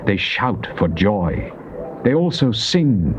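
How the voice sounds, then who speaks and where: A man's voice: on the low side, huge pitch swings, slow pace, over music. A man giving a solemn, theatrical, declamatory dramatized reading of scripture. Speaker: Bible reader. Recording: dull, lo-fi cassette with faint noise.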